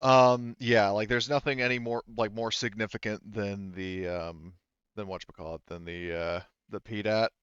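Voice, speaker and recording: A man's voice: on the low side, fairly even intonation, moderate pace, a bit bright, clean tone, no room sound. A man running a tabletop role-playing game, explaining things casually in a rambling way full of asides, on slightly dull audio. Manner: rambling, frequent 'like' asides. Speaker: game master.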